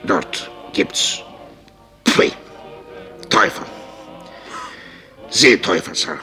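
An actor playing a hard-drinking, servile island boatman in a German radio drama, halting and wary, repeating himself in a deep, gravelly voice. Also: sharp tone, soft background music, full character voice. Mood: spooked, secretive.

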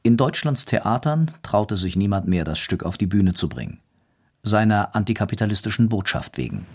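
A man's voice: fairly low pitch, animated, normal speed. A man delivering scripted narration for a historical radio documentary.

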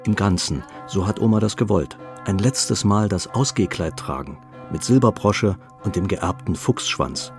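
A man narrating a bittersweet family radio play, understated and wry, with a creaky voice. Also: lowish voice, moderate pace, few pauses, soft background music, lightly acted. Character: male storyteller of a bittersweet family tale.

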